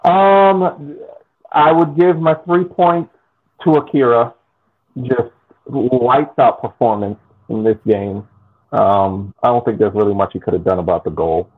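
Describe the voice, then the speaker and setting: An American man co-hosting a podcast, chatting casually about a soccer game in a halting way with frequent restarts. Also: mid-range voice. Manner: halting, restarts often, laughs easily. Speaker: podcast co-host. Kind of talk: casual sports chat. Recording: tinny phone-like line.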